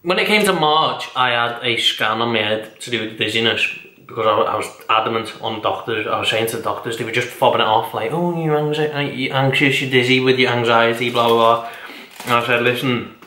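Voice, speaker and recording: A man's voice: medium pitch, a little fast, sharp tone. A young man, talking casually and at length in a personal vlog, rambling and self-deprecating with tangents. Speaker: vlogger. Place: indoors at home. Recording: echoey room.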